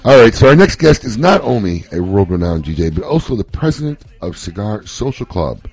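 A man hosting a casual radio talk show from a studio, joking and ranting in an animated way. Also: lowish voice, brisk, slightly rough. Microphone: studio microphone.

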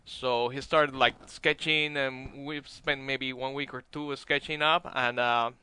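A man's voice: medium pitch, steady tone, a little slow, bright, forceful tone, smooth. A man with a Dominican accent, a cigar maker guest on a talk radio show, speaking casually with many fillers and restarts. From Dominican Republic.

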